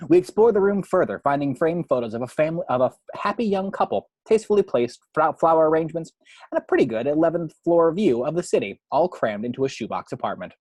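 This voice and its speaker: A man's voice: fairly high pitch, expressive, fast, darkish tone, dry recording. Man narrating screenplay action, dry close sound. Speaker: narrator reading the action description.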